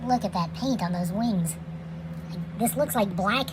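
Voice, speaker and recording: Woman, hobby reviewer, casual and rambling unboxing talk; home recording with clear background noise. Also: medium pitch, a little slow. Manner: relaxed, chatty, with frequent fillers and trailing phrases. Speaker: toy reviewer. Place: indoors at night.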